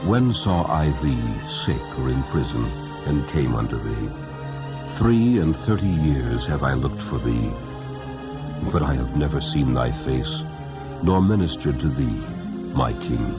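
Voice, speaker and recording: A gentle old man, acted out by a male narrator speaks in an extremely deep voice. He is humble and devout, slow and halting, as in a storybook drama. Strong music runs underneath. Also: lively intonation. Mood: humble, questioning. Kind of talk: dramatic storybook character reading.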